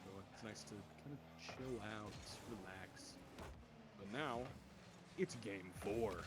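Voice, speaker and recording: A young man with a deep voice streaming esports commentary from home, chatting with viewers and calling plays. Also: slow, noisy background. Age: young adult. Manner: rambling, chatty, restarts often, bursts louder on goals. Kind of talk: casual solo game commentary and chat reading. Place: home room.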